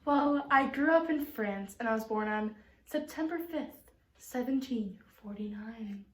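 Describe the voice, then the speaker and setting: A woman playing a devout religious sister from eighteenth-century France as an interview guest, speaking earnestly and a little haltingly in an amateur role-play. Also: fairly high pitch, lightly acted. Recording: roomy, distant mic with background noise.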